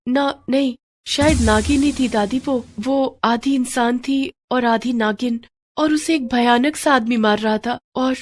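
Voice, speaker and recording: A woman voicing an innocent fifteen-year-old girl in an audio story, with an emotional, halting delivery. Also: on the high side, pitched above the performer's own voice, close to an everyday voice. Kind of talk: dramatic audio story acting.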